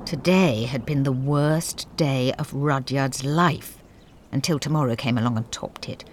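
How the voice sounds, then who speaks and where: A woman voicing a self-important, gossipy mouse narrator in an audio comedy drama, wry and confiding, with a husky voice. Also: a bass voice, unhurried, a little hiss or hum. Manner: confiding, wry, theatrical, dropping into whispers.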